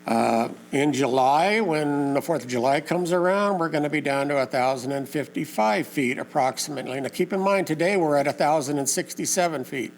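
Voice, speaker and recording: A hoarse man who is losing his voice speaks at a community meeting, pausing often with fillers in plain, folksy wording. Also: fairly high pitch, slight background noise. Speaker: community meeting organizer and lake info page host. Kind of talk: informal public talk to a local meeting.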